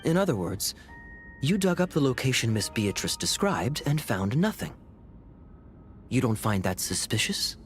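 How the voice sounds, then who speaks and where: A man's voice: medium pitch, sing-song, moderate pace, faint music behind, natural voice. A man playing a suave, sceptical knight in a video game, questioning in a pointed, sardonic way. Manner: smooth-talking, pointed, sardonic.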